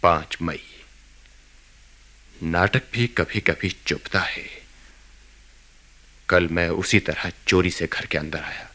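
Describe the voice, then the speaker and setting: Restless husband, low bright voice, slow pace, introspective, radio play. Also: male voice, exaggerated voice. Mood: brooding, weary. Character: brooding, restless, bored husband confiding in his diary. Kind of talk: radio drama acting, introspective narration and dialogue.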